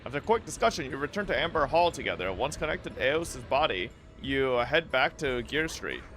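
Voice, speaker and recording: A man's voice: medium pitch, very animated, a little fast, a bit bright, faint music behind. A man streaming a game playthrough, reading text aloud and commenting in a crude, joking way.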